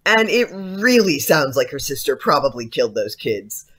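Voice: mid-range voice, big pitch swings, normal speed, fairly bright tone, slightly rough. An actor playing a polite, skeptical, uneasy schoolboy in an audio play, speaking hesitantly. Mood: doubtful. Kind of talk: audio drama acting.

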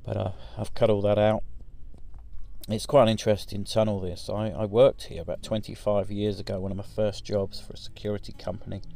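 A man's voice: on the low side, little pitch movement, fairly quick, creaky, mellow. A man in his forties giving a casual, rambling voice-over for his driving footage, with many ums and restarts. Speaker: vlogger.

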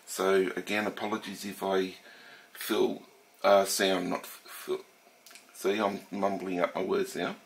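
Casting: middle-aged Australian man, nasal from a head cold, lowish voice, casual unhurried narration. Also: little pitch movement. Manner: slow, thoughtful, with frequent fillers and restarts. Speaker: steam engine collector. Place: home workshop room.

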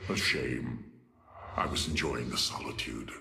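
An actor playing an arrogant, cold and scornful male alien warlord in a video game, with a gravelly voice and a haughty, theatrical delivery. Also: rumbling low, measured pace, pushed and bright, exaggerated voice. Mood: scornful, bored.

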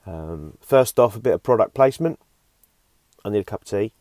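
A middle-aged man with a slightly croaky voice after a cough, giving an informal, unhurried online devotional as a youth pastor. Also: lowish voice, lively intonation, mellow, no room sound. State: recovering from a cough. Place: inside a camper van.

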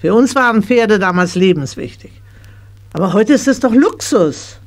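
Creaky-voiced, scolding mother. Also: mid-range voice, animated, normal speed. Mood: agitated, grumbling. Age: middle-aged. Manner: grumbling, chiding. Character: scolding, careworn shopkeeper mother of a horse-mad girl. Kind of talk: German children's radio play acting.

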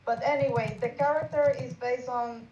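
A woman speaking English as a second language explains a project casually, halting and a little apologetic. Her fairly high voice comes over a roomy, muffled call with music underneath. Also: fairly even intonation, noisy background. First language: not English. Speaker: game artist presenting team project.

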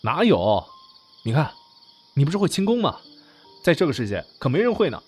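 A man's voice: fairly high pitch, wildly swooping pitch, brisk, a little mellow, husky, soft background music, full character voice. An actor in an audio drama playing a modern young man who is doting, exasperated and secretly lovesick. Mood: caring, coaxing.

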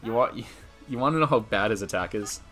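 A young man commenting casually on a game with a friend, excitable and sweary, repeating his phrases. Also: fairly low pitch, fast talker. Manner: profane, excitable, repeats himself. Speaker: livestream gamer. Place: indoor room, streaming webcam setup. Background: video game music.

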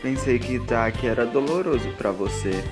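A man's voice: medium pitch, lively intonation, moderate pace, close to an everyday voice. A man as awkward, earnest teenage boy. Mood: apologetic. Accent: Brazilian. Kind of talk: dramatic fan dub dialogue. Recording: music clearly behind the voice.

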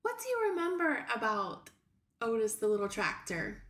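A middle-aged woman teaching young children as a preschool teacher, explaining things simply with hesitant pauses. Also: fairly high pitch, very animated, fairly bright tone. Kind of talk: read-aloud lesson for young children. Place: small room at home, indoors. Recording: roomy, echoey home recording.

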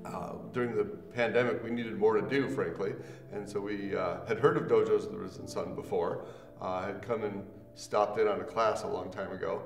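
A middle-aged man giving an unscripted testimonial in a measured, matter-of-fact way. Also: lowish voice, steady tone, gravelly. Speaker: parent and student giving a testimonial. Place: small indoor room with a couch. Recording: roomy echo, distant mic, faint music behind.

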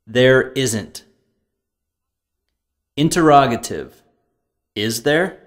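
A man narrating a language course, reading scripted grammar terms and vocabulary in a deliberate, clearly enunciated way. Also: medium pitch, lively intonation. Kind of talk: scripted lesson reading, word drills.

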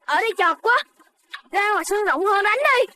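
A woman's voice: extremely high pitch, lively intonation, normal speed, sharp tone, a little hiss or hum, exaggerated voice. A woman with a Southern Vietnamese accent voicing a spirited, boastful, eager little boy animal in a children's cartoon. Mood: battle excitement.

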